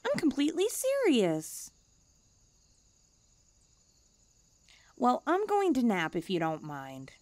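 A woman's voice: on the high side, wildly swooping pitch, moderate pace, darkish tone, dry recording. A woman voicing a cute, self-important young stray catgirl in a languid ASMR roleplay. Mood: tired, self-important. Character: cute, smug, pampered, drowsy young female stray catgirl.

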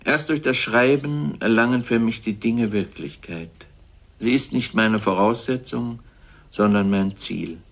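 Guy reading a literary essay, sober and deliberate, lowish voice, really slow.

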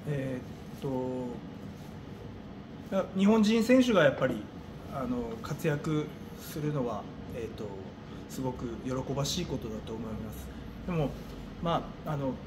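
Male interviewee, middle-aged coach type, slightly higher voice, hesitant and searching for words, clear background noise. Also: expressive. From Japan. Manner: hesitant, thinking aloud with many pauses. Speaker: gym owner and martial arts coach. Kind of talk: interview answers. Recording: clearly noisy room ambience.

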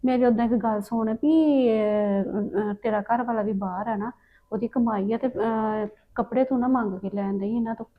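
A stern, meddling auntie presses her advice on a younger woman, played by a woman. The voice is on the higher side and the recording sounds dull and lo-fi. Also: fairly even intonation, normal speed, close to an everyday voice. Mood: lecturing. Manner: lecturing, stern. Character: meddling elder woman giving unwanted advice.

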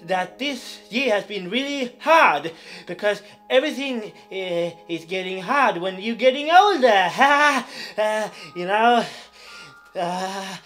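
An aging, wounded circus clown in a theatrical monologue. The pitch leaps about while the words come slowly, snagged on a heavy stammer and broken by nervous giggling. He sounds eager and unsettling, with music playing behind him. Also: animated, fairly bright tone, full character voice. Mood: wistful, nervous. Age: older.

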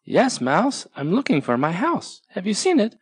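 A narrator voicing a small, anxious, earnest male tortoise in a high voice for a storybook. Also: wildly swooping pitch, normal speed, breathy edge, no room sound, studio quality, full character voice. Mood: hopeful searching. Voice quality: high-pitched, squeaky.

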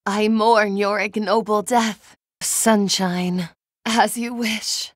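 A meek, gloomy young noblewoman from a video game. She speaks slowly and formally, forever apologetic and down on herself. The recording is dry and close. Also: medium pitch, steady tone, dry recording. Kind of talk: short battle voice clips.

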